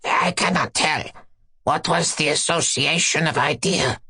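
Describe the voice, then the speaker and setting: A man voicing a meek dwarf court jester from a classic story, hesitant and subdued, with a strained, creaky voice. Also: fairly high pitch, measured pace, pushed and bright, voice pushed up, exaggerated voice. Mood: tranquil. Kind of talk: dramatic reading of a classic story.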